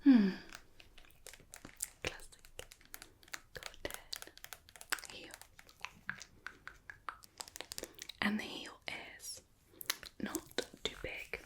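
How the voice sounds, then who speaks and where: A woman playing a jealous, clingy, teasing ex-girlfriend in an ASMR roleplay, in a soft breathy whisper close to the microphone. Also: lightly acted. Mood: soft lingering. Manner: slow, lingering, teasing delivery.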